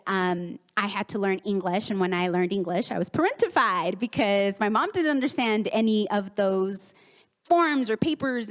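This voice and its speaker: Woman in her 30s, native Spanish speaker, relaxed presenter who acts out quotes; reverberant hall. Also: fairly high pitch. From United States, Mexican home. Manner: warm conversational with acted-out quotes and laughs. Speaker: therapist giving a conference talk. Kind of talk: conference presentation. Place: conference hall.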